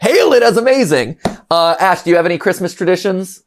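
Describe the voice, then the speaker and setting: A man's voice: high-pitched, lively intonation, a little fast, a little hiss or hum. A man from Ohio in his thirties, hosting a casual reaction show and rambling animatedly, with frequent restarts and chuckles. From Ohio, USA. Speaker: host.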